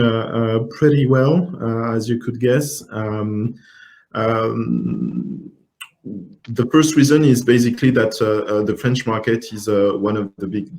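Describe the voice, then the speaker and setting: A man answering as a panelist in a business webinar, halting and full of hesitations. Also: medium pitch, a little mellow, rough recording. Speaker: country manager, panelist.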